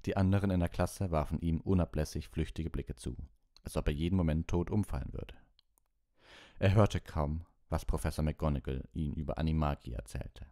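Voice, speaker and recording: A man with a very low, dark voice narrates a storybook. The sound is dry and close, with a soft, mellow tone suited to an audiobook. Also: fairly quick, no room sound. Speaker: audiobook reader.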